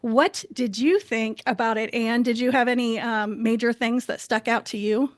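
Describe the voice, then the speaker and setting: High-voiced woman podcast host, closely recorded. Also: steady tone, normal speed, no room sound, clean studio sound. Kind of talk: casual podcast chat.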